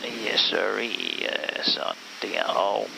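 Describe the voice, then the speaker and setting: A man's voice: fairly low pitch, slow, creaky. A Danish man working as an airline pilot, calling out checklists and radio replies in a clipped, procedural way with a Danish accent. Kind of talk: cockpit checklist callouts and radio calls. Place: airliner cockpit in flight. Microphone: cockpit intercom headset. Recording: loud cockpit noise with hiss.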